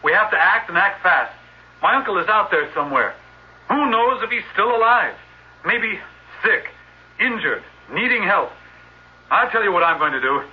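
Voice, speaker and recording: An actor playing a headstrong, impatient young nephew in an old-time radio drama. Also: male voice, sits high, animated, moderate pace, long pauses. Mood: impatient, agitated. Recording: muffled vintage radio recording, faint hiss.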